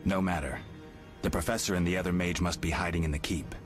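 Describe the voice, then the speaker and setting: An actor playing a stoic, gruff swordsman in a fantasy video game, speaking tersely in a low voice. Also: over music, close to an everyday voice. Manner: terse, flat, unhurried. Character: stoic gruff adult male monster-hunting swordsman.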